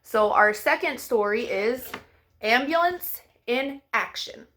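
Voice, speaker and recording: A woman's voice: fairly high pitch, lively intonation, takes it slowly, a bit bright. A woman hosting a children's storytime, reading picture books aloud expressively, with playful sound effects. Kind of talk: animated picture-book read-aloud for young children.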